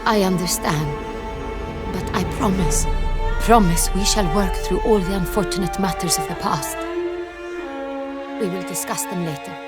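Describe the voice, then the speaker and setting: An actress playing a proud, imperious duchess in a fantasy game, with a formal, commanding manner. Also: female voice, fairly low pitch, measured pace, long pauses, natural voice. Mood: composed, regal. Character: imperious, proud duchess, protective of her sister. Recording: loud game music behind.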